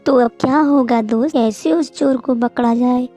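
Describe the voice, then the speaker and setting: A woman's voice: a little high, normal speed, warm tone, faint music behind, close to an everyday voice. A woman voicing a worried, polite male rabbit farmer in a cartoon moral story, pleading with friends. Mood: anxious.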